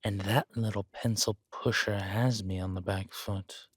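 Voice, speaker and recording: A man playing a controlled, vengeful villain king who speaks with measured, quiet menace in an intimate audio roleplay. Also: lowish voice, fairly even intonation, husky, dry recording, clean studio sound. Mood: brooding, angry.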